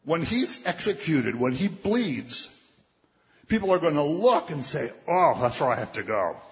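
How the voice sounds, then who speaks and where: Male preacher, slightly high voice, conversational delivery; long hall reverb. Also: very animated, unhurried. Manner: conversational preaching, quotes scripture, acts out voices. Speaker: pastor. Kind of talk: sermon. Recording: dull, muffled sound with long hall reverb.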